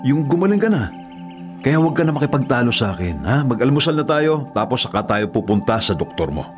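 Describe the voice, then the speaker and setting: A man's voice: medium pitch, big pitch swings, fairly quick, natural voice. An actor with a Filipino accent playing a tired, devoted young working man in a radio drama, earnest, reassuring and apologetic. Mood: firm, caring. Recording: music under the voice.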